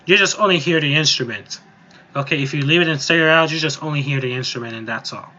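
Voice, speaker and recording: Guy doing a casual software walkthrough, kinda high bright voice, rambles thru the steps. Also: quick pace, lo-fi sound, faint music behind. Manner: informal, rambling step-by-step explaining. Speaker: music software tutorial presenter. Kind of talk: casual, improvised screen-recorded tutorial. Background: voice-over on a screen recording.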